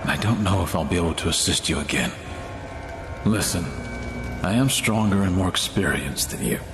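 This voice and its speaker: A seasoned warrior mentor from a dark fantasy game. The voice is very low and grave, with a solemn, admonishing delivery. Music plays behind it in an echoing space. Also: male voice, lively intonation, normal speed. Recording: roomy echo, music behind.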